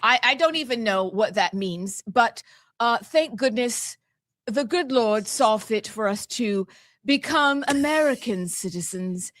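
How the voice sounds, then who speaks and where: A woman's voice: a little high, animated, measured pace, fairly bright tone, no room sound. A woman hosting a celebratory talk show in a playfully ceremonious manner, speaking with a put-on British accent. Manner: mock-formal, playfully ceremonious. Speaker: show host.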